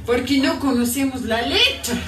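High, fiery Andean activist woman. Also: normal speed, fairly bright tone. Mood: earnest, urgent. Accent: Ecuadorian Andean. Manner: emphatic, accusatory. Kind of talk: radio drama acting. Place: radio drama played back at a live stage show. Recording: echoey playback in a hall from far off, faint music.

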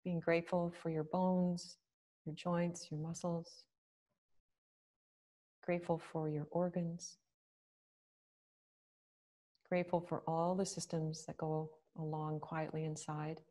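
A woman leading a guided meditation, speaking slowly and gently with long pauses. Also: deep voice, flat delivery.

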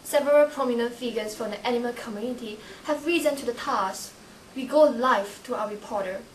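A woman's voice: on the high side, a little fast, a little hiss or hum, lightly acted. A young woman playing an earnest television news anchor, reading a scripted mock newscast. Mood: formal. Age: young adult. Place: indoor room with wooden panels. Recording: echoey room, distant camera mic.

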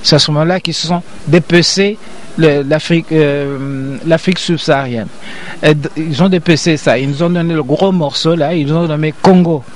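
An older man explains himself with the insistence of a lecturer, circling back and repeating his points. His voice sits fairly high for a man. Faint city noise hums behind him. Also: fairly even intonation, normal speed. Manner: emphatic, repetitive, lecturing. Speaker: interview guest, professor. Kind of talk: interview.

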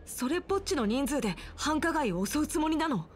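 High, earnest youthful apprentice. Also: female voice, big pitch swings, a little fast, slight background noise. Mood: doubtful. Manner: earnest, questioning. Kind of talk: Japanese anime game voice acting. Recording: music behind.

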